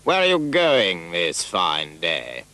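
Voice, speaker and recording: A man doing a slow, sly storybook wolf. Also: low-pitched, huge pitch swings, bright, forceful tone, pitched below the performer's own voice, heavily acted. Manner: slow, drawn-out, sly wheedling. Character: big bad male wolf, sly and hungry.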